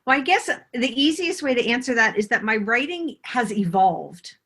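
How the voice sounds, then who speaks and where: A woman's voice: a little high, expressive, normal speed, fairly bright tone, slightly rough. A middle-aged woman answering interview questions as a guest author, explaining herself in a reflective, conversational way.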